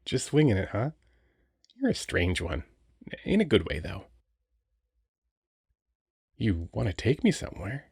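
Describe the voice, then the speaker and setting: A man's voice: medium pitch, a little mellow, breathy edge, no room sound, studio quality. A man playing a cheerful, flirty Christmas elf toymaker in a romantic audio roleplay, chatty and teasing with the listener. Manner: playful, teasing, bubbly, chatty.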